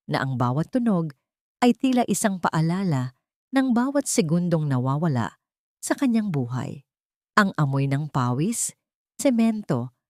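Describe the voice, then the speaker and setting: A woman narrator reading a scripted dramatic love story in a solemn, deliberate manner. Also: deep voice, darkish tone, dry recording, studio quality.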